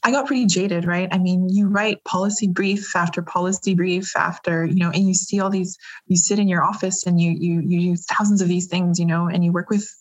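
A woman recounting her career in a candid interview, lively and quick to laugh, often restarting her sentences. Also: lowish voice, low-quality recording. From grew up around Asia. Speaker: interview guest.

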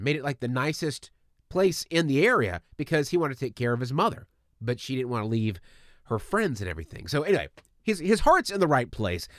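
A man hosting his podcast in a chatty, upbeat, rambling style, his voice a touch strained. His pitch sits fairly high and moves a lot. The studio sound is close and dry. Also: dry recording. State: slightly strained voice from allergies. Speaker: podcast host. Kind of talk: casual podcast monologue.

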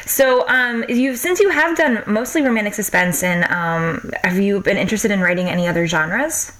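A woman's voice: medium pitch, normal speed, a bit bright, slight background noise, low-quality recording. A woman hosting a podcast interview, upbeat and enthusiastic, often laughing. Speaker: podcast host. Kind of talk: casual interview chat.

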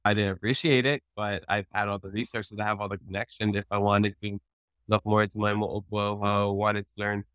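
Lowish-voiced man, native Hawaiian speaker. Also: a bit bright, no room sound. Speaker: panel guest, podcast host. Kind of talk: casual panel talk.

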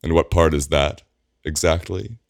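An imperious male ruler who growls his commands, as a man reading an audiobook puts him on. The voice is very deep and gravelly, close to the reader's own, and recorded dry. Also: unhurried, dry recording.

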